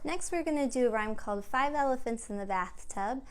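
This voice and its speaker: A woman's voice: a little high, lively intonation, fairly quick, clean tone. A woman hosting a storytime for young children, giving simple, encouraging instructions as a children's librarian. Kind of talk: children's storytime with songs and rhymes.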